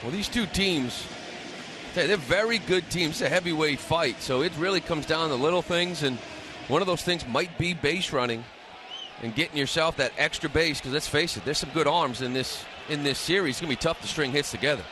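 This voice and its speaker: A man's voice: on the high side, fairly quick, noisy background. A man giving color analysis during a live baseball radio broadcast.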